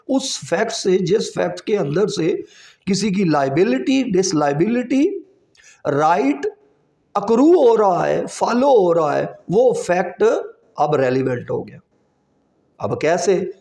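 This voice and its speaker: Man, Pakistani accent, high voice, very measured pace, didactic classroom teaching, rhetorical questions, mixes in English terms. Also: darkish tone. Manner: deliberate, didactic, repeating key terms and asking rhetorical questions; code-switches with English legal terms. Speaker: law lecturer. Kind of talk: classroom lecture, explaining with examples and questions.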